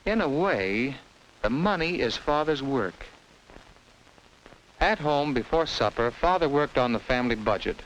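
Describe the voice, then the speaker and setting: An American man narrates a children's educational film in the scripted style of the 1950s. His mid-pitched voice moves in lively, varied melody as he tells things simply and instructively to young listeners. The vintage film soundtrack carries a faint layer of noise. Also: low-quality recording.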